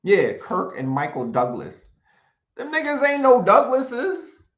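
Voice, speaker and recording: A man's voice: very high voice, huge pitch swings, slow. An emphatic man delivers a monologue, hammering his points with rhetorical questions and now and then reading from notes. His voice sits in an echoey room, and the recording sounds dull and lo-fi. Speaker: commentator.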